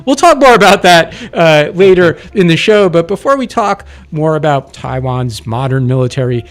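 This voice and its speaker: A man's voice: fairly high pitch, expressive, normal speed. A man hosting a radio interview, chatting casually and backing his guest with frequent short "mm-hmm"s. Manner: friendly, lots of short backchannel "mm-hmm"s. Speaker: host. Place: radio studio.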